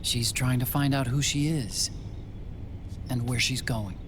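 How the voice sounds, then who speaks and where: An actor playing a gentle, awkward male scientist and computer geek in a game cutscene, earnest and hesitant, with melancholy under it. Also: medium pitch, unhurried, background noise, natural voice. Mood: somber, tender. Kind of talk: video game cutscene acting.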